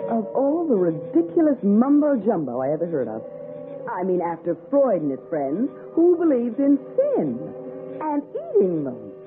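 Scheming wife, swooping expressive voice. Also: female voice, mid-range voice, normal speed, narrow, tinny audio, music in the background. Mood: scornful. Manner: coaxing, theatrical. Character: scornful, cajoling city wife, coy and scheming. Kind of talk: old-time radio suspense acting. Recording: thin, noisy 1960s radio recording.

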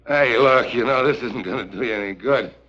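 An actor playing a tough, wisecracking American agent with a wry, slangy manner in an early 1950s TV spy drama. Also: male voice, medium pitch, a little fast, very noisy, natural voice. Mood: exasperated. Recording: muffled vintage 1950s TV soundtrack.

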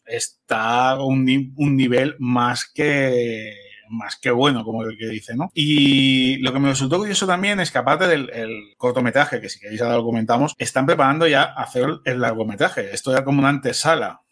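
A man's voice: medium pitch, normal speed, fairly bright tone. A man with an accent from Spain chatting casually as a guest, often repeating himself as he talks. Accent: Spanish from Spain. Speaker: podcast guest, filmmaker. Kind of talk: casual chat.

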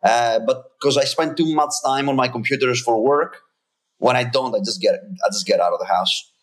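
A man's voice: medium pitch, moderate pace. A man in his thirties, originally from Greece, a company founder talking earnestly and emphatically as a guest, often restarting his sentences. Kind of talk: casual interview talk.

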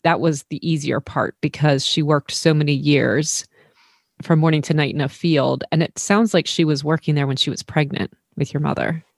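Low-voiced woman host, dry close sound. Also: steady tone, no echo. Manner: thoughtful and measured, pauses to reflect. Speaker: podcast host. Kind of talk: interview questions.

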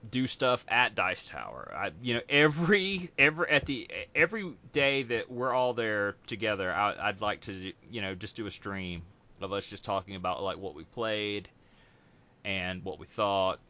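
Bright-voiced rambling podcast host. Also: male voice, lowish voice, moderate pace, highs cut off. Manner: rambling, relaxed, many fillers. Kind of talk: casual chat.

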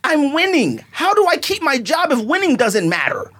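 Brief: high-voiced woman co-host, insistent debater, swooping lively pitch, raises her voice to make points. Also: normal speed. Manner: argumentative, insistent, breaks into shouts. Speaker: podcast co-host. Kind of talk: heated casual sports debate.

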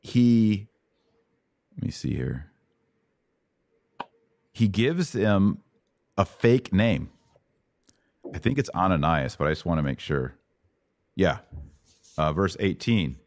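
A man's voice: deep voice, lively intonation, slow pace, pauses often, a little mellow, a little hiss or hum. A middle-aged man hosting a podcast, casually teaching in a live Bible Q&A and explaining things with paraphrased quotes in everyday words. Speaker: podcast host answering Bible questions.

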